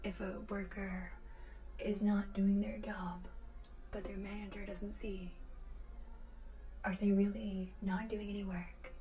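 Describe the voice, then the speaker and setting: A woman streaming ASMR, chatting casually with her viewers in a soft voice that often drops to a whisper, close to the microphone. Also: medium pitch, level tone, slow pace, low-quality recording.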